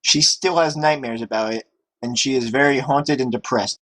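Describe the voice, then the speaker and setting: A man's voice: medium pitch, normal speed, fairly bright tone, rough recording. A man doing a comedic, dramatic read-aloud of fan fiction among friends.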